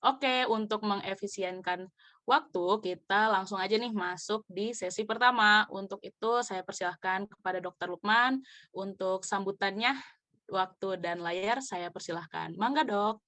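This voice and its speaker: A woman's voice: medium pitch, unhurried, pushed and bright, dry recording. A young woman student moderating an online interview, polite and structured with light filler words. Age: young adult. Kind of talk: formal event moderation. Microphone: video call.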